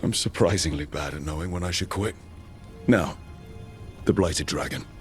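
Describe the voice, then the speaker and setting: Encouraging fantasy game commander played by a man, deep voice, firm delivery, music behind. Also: normal speed, natural voice. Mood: determined. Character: determined, encouraging male hero commander. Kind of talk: video game voice acting.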